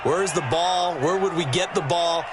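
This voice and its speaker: A man's voice: a little high, moderate pace, very noisy, close to an everyday voice. A man with an American accent playing a football video game's color commentator, explaining things in a conversational way. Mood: explanatory. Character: knowing male football analyst in the booth.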